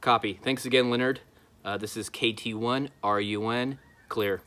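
A man's voice: mid-range voice, steady tone, normal speed, a bit bright. A man in his thirties talking casually to camera outdoors, with a hesitant, informal delivery, as an amateur radio vlogger. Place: outdoor backyard patio. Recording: clearly noisy outdoor recording.

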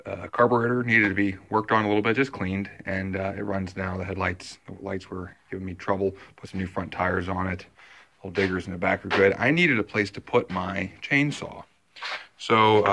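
A man with a very low voice talks through a do-it-yourself project in a home basement workshop. He rambles casually, stopping and restarting with plenty of fillers. He films on a handheld phone, and a faint hiss runs under his voice. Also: a bit bright. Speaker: vlogger. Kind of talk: casual show-and-tell vlog. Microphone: handheld phone camera.

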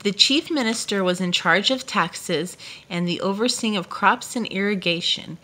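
A woman's voice: medium pitch, normal speed, pushed and bright. Woman reading a picture book aloud. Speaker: storyteller reading to a child.

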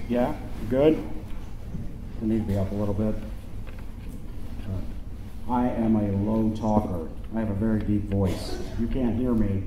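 A middle-aged American man preaching a conversational homily as a deacon, informal and often checking in with his listeners. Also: lowish voice. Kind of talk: conversational sermon with rhetorical questions. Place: church interior at a lectern. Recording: very echoey church, faint background noise.

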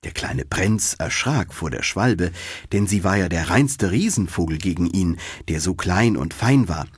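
A man narrating a fairy tale in an expressive storytelling manner, as for an audiobook. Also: low-pitched, a little slow, husky, no room sound, studio quality.

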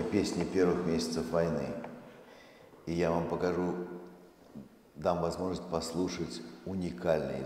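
Deep-voiced man presenting in echoing hall. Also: warm tone. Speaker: presenter, museum director. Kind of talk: public lecture presentation. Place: seated on stage in a large dark hall. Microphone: handheld microphone through hall speakers, far camera. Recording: very echoey hall with faint noise.

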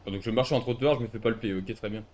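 A man's voice: lowish voice, steady tone, fast talker, a little mellow. Argumentative man in an online roleplay. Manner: argumentative, sarcastic. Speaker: streamer playing a civilian in an online roleplay game. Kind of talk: casual roleplay arguing and gaming commentary.

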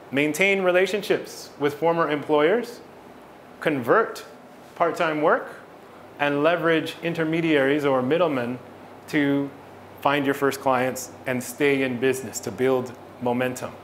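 A middle-aged man presenting a business talk to an audience in a small event space, steady and clear with the odd filler and self-correction. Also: a little high, measured pace, slight background noise. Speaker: business consultant giving a talk.

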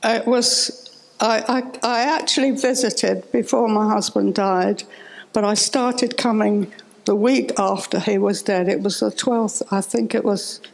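A woman in her late eighties giving a halting, reflective personal testimony in an interview on a church stage. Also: mid-range voice, fairly even intonation, vocal fry, poor audio. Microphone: handheld microphone held close.

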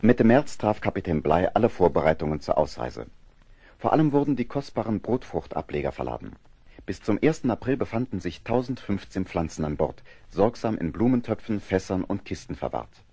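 A man's voice: deep voice, big pitch swings, brisk, dark tone, slightly rough, dry recording. A man narrating a scripted historical adventure audio drama.

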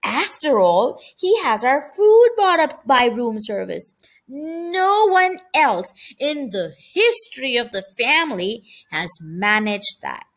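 Reasonable storybook aunt mouse, high-voiced, slow, persuasive woman reader. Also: big pitch swings, heavily acted. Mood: placating.

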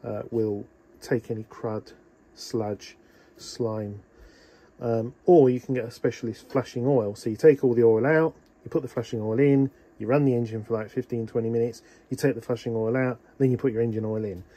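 A man's voice: mid-range voice, steady tone, normal speed, dark tone, slightly rough. Chatty man explaining boat maintenance. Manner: practical, chatty, many ums. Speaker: boat owner showing engine maintenance. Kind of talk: casual how-to narration. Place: small boat cabin engine bay, indoors. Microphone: phone held in one hand.

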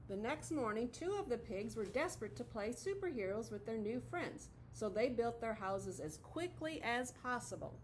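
A woman's voice: a little high, very animated, moderate pace, few pauses, slight background noise, rough recording. A middle-aged woman teacher reading a picture book aloud expressively to children, recorded in a classroom. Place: classroom, indoors.

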